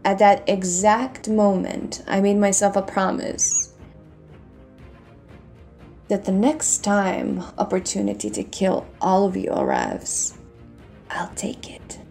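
A woman's voice: medium pitch, little pitch movement, slow pace, warm tone, soft background music. A woman playing a vengeful, scheming female villain in a dramatic audio roleplay, cold, taunting and theatrical. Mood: smug, vengeful.

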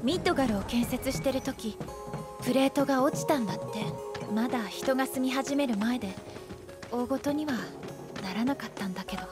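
A woman's voice: high-pitched, fairly quick, natural voice. An actress voicing a cheerful, playful young woman in a Japanese video game, with a breezy, teasing way of talking. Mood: gently concerned. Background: background music.

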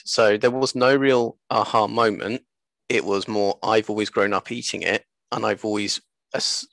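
A man in his thirties with a lowish, gravelly voice, recorded dry on a headset. He chats as an interview guest, stopping and restarting as he tells anecdotes. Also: fairly bright tone, no room sound. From born in Japan, later moved away. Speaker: interview guest, seaweed business co-founder. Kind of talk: casual interview chat. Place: small room at home, video call. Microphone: headset on a video call.

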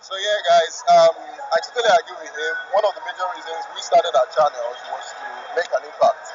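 A man's voice: on the high side, fast, lo-fi sound. A man with a Nigerian accent chatting in a laid-back way outdoors at a roadside rest stop. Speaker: travel vlogger guest. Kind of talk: casual chat.

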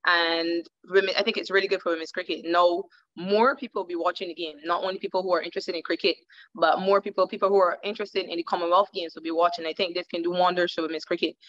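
A woman's voice: fairly low pitch, fairly even intonation, quick pace, a bit bright. A woman cricketer from Barbados in her thirties, answering interview questions over a video call, thoughtful and repeating words as she searches. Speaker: cricketer guest. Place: indoor room at home. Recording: dull lo-fi call audio.

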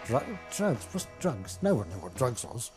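A man's voice: mid-range voice, very animated, a little slow, music in the background. An older man, a former motorcyclist, reminiscing in an emphatic, plain-spoken way.

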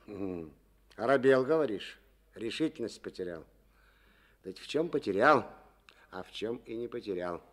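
A man's voice: on the low side, lively intonation, moderate pace, long pauses. A man playing a stubborn, middle-aged collective farm chairman in a Soviet radio play, with sly, rustic, grumbling speech. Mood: brooding, teasing.

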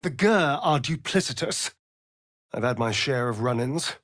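An actor playing a vain, sardonic vampire rogue in a video game, with an arch, drawling, theatrical delivery. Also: male voice, medium pitch, lively intonation, a little slow, a bit bright, dry recording, clean studio sound. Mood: wry. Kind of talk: video game character acting.

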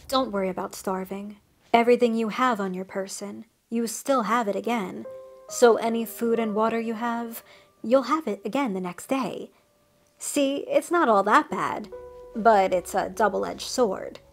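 A woman's voice: mid-range voice, close to an everyday voice. A woman voicing a friendly male woodland cryptid, an ancient creature with rotting flesh who chats and reassures with wry asides. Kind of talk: scripted storytelling monologue.

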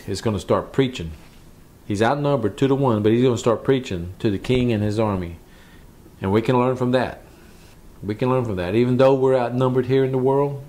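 A man's voice: medium pitch, a little mellow. A man, a pastor, teaching from the Bible in a conversational sermon, repeating phrases for emphasis.

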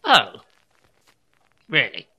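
An actor playing a pompous mad-scientist supervillain in a comedy audio drama, with grandiose theatrical delivery. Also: male voice, extremely slow, bright, forceful tone, heavily acted. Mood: baffled.

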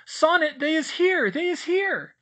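A man voicing a timid little female house-elf who squeaks and speaks in broken grammar. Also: expressive, a little slow, sharp tone, heavily acted. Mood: eager, anxious.

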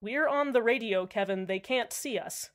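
A woman's voice: on the high side, moderate pace, lightly acted. Woman playing a nervous, polite male radio host. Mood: frightened, pleading. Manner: hesitant, placating, uneasy. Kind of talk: radio drama acting.

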